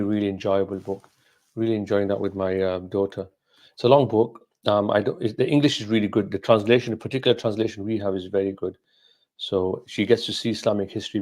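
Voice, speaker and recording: Middle-aged male scholar, Gujarati mother tongue, lowish voice, informal Q&A delivery, halting and thoughtful. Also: darkish tone. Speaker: Islamic scholar hosting a question and answer session. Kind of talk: casual informal question and answer talk.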